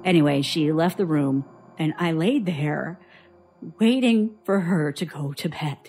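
A slow-spoken, haunted storyteller. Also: female voice, sits low, breathy edge, soft background music, exaggerated voice. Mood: haunted, tense. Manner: slow, halting, hushed suspense. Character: haunted woman recounting frightening childhood memories to a doctor. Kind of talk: audio drama acting.

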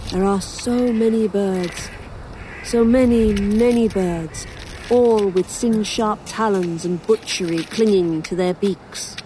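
A woman's voice: mid-range voice, takes it slowly, dark tone, lightly acted. An actress plays a weary young woman writer in an audio drama, sardonic and dry. Her delivery is that of someone worn down and unimpressed. Faint outdoor noise and cawing sit behind her voice. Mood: grim, literary. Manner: dry, sarcastic, hesitant. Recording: faintly noisy outdoor sound bed. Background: crows cawing, outdoor ambience.

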